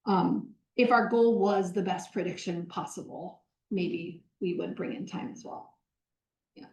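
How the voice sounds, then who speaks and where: A woman professor answering audience questions in a lecture hall, explaining with energy, often pausing on "um" and correcting herself mid-sentence. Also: fairly low pitch, vocal fry, a little mellow. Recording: roomy lecture hall sound.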